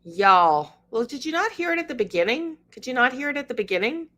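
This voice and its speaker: A woman's voice: sits high, very animated, brisk, pushed and bright. A middle-aged woman, hosting a casual livestream, reading news aloud and chatting with viewers by name. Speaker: livestream host.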